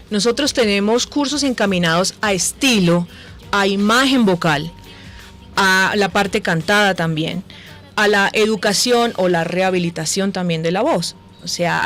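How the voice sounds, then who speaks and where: A Colombian woman giving explanatory answers as a voice-training expert in a radio interview. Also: mid-range voice. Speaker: voice center director, guest. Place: radio station booth.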